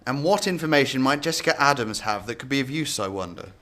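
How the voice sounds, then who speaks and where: Fast-talking male intelligence official, played by a man. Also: mid-range voice, lively intonation, pushed and bright. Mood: brisk, dry. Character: dry, matter-of-fact male intelligence official. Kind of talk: radio drama acting.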